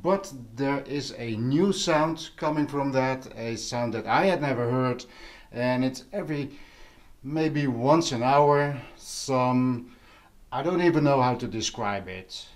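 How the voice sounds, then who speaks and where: A man chatting casually to a vlog audience, halting and thinking aloud as he goes. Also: medium pitch. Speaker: vlog host. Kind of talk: casual vlog chat about hobby audio gear.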